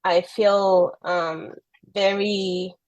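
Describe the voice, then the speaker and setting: Peruvian woman, soft and hesitant. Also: mid-range voice, level tone, very slow, dry recording, low-quality recording. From Peru. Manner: soft-spoken, hesitant, frequent ums and light laughs. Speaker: guest athlete. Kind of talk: casual interview.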